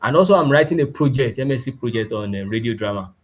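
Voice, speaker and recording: A man's voice: medium pitch, animated, fast talker. A man giving informal congratulatory remarks as a course alumnus over a video call. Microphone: video call microphone. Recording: noisy online call.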